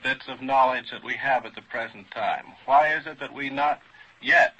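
A man chairs a formal symposium on old radio, speaking with deliberate courtesy. His voice is husky and forceful. The sound is a dull vintage recording. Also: medium pitch. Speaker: moderator of a scientific symposium panel. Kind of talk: formal mid-century radio panel moderation.

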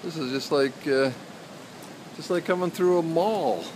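A man's voice: fairly high pitch, measured pace. A man filming his trip, commenting casually and chattily as he walks and rides. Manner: relaxed, chatty, with fillers. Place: airport then tour bus. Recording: noisy travel ambience.

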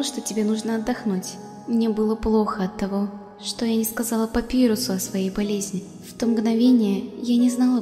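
A tender, motherly female ghost played by a woman with a mellow voice swimming in long reverb. She speaks wistfully and gently, as in a dubbed comic drama, with music underneath. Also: mid-range voice, lightly acted. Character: gentle motherly ghost of a dead skeleton monster. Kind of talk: acted comic dub.

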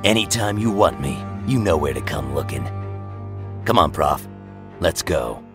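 An actor playing a gruff, cocky, defiant military agent in an audio drama, curt and sardonic. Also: male voice, very deep voice, lively intonation, unhurried, over music. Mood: defiant, menacing.

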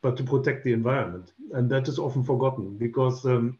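A man in his fifties, an English speaker, explaining carefully as a panel guest on a video call and pausing on many "uh" fillers. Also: mid-range voice, mellow. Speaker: panel guest, space safety expert. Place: home room, indoors. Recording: dull lo-fi call audio.

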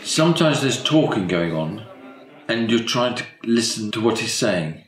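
A man in his sixties from England, an amateur choir singer talking softly and hesitantly in a documentary interview. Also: on the low side, very animated, moderate pace. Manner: soft-spoken, hesitant, chuckling. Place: living room with leather sofas. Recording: roomy sound with music behind.

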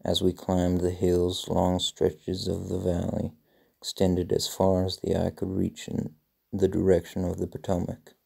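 Male reader, historical narration: measured, gravelly, mellow and dark. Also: low-pitched, monotone. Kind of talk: scripted reading of historical excerpts.

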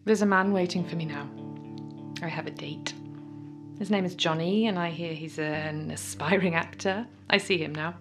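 An actress playing a resentful, wistful young sister in an audio play monologue, measured and confiding. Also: female voice, on the low side, steady tone, music in the background, lightly acted. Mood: wistful, hopeful.

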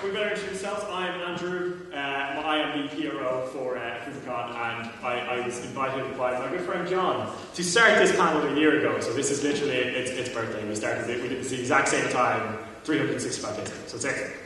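A man's voice: medium pitch, fast talker, sharp tone. Irish man hosting in an echoey hall. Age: young adult. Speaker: panel host. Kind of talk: casual joking convention panel talk. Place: large hall at a panel table, far camera. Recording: very echoey noisy hall, far off.

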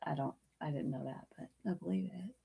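A woman's voice: low-pitched, a little fast, gravelly, warm tone, slightly rough. A woman in her thirties casually co-hosting an online auction over a webcam in a small room, chatty and giggly while checking messages. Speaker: auction co-host. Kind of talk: casual livestream auction chat. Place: indoors, small room with tiki decor.

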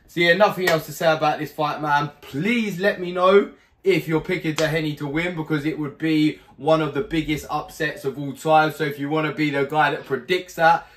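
A hoarse young man hosting a boxing channel, giving a blunt, animated fight preview to camera from a small home room, swearing freely. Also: on the high side, normal speed, a little hiss or hum. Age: young adult.

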